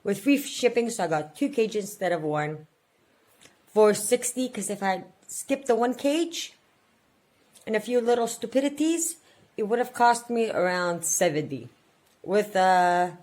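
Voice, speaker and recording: A woman's voice: mid-range voice, animated, a little slow, a little mellow. A woman in her forties venting and rambling in a casual personal budget vlog, repeating words for emphasis. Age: 40s. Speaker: budget vlogger.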